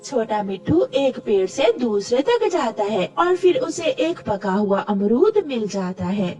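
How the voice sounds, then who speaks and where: A woman's voice: a little high, big pitch swings, a little slow, darkish tone. A woman narrating a scripted children's story as the storyteller. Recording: distant mic with music behind.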